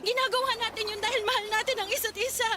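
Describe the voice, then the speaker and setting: A high-voiced pregnant young woman. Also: fairly even intonation, quick pace, noisy background. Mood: indignant. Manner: emotional, pleading, tearful at times. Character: young pregnant woman pleading with her lover. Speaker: voice actor. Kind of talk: radio drama acting.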